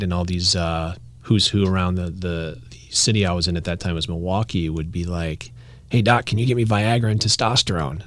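Really deep voiced doctor guy, chill, laughs a lot, dry close mic. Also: no room sound. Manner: laid-back, laughs easily, explains plainly. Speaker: doctor, medical clinic founder. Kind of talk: casual interview chat.

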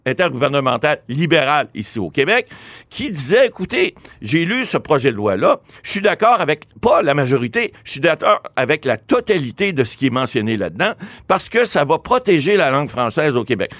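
Animated Québécois man explaining law. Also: on the high side, fairly quick, dry recording. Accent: Québécois French. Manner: animated, many restarts and repeated words. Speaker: lawyer commentator. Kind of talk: explanatory talk show commentary.

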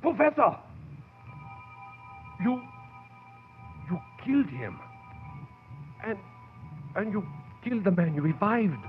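An actor playing a frightened, bewildered laboratory assistant in 1940s radio horror, stammering and deferential. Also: male voice, medium pitch, sing-song, takes it slowly, long pauses, faint music behind. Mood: shocked. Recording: tinny old radio broadcast.

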